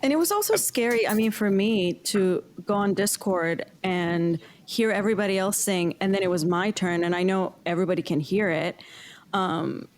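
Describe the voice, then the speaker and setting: A woman's voice: medium pitch, moderate pace. A woman talking casually as a cast member in a group podcast conversation. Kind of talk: casual panel chat.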